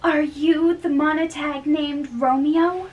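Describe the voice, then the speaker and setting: A woman plays a worried, bashful young noblewoman in love. The voice is high and girlish, in an amateur play reading recorded at a distance from the microphone. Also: steady tone, takes it slowly, lo-fi sound, slight background noise. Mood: alarmed wonder. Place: small bedroom, indoors. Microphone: far camera.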